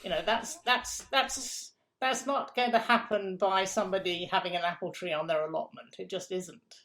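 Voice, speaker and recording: A woman's voice: mid-range voice, steady tone, normal speed, pushed and bright. A middle-aged woman from England, a guest in an interview, explaining food systems step by step with emphatic repeats.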